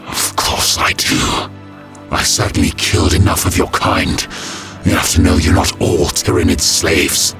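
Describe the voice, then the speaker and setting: A man voicing a grim, vengeful reptilian alien warrior in a science fiction audio story, with a harsh, low, gravelly voice and solemn, deliberate delivery. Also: music in the background. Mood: grim, resolute. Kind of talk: dramatic audio story acting.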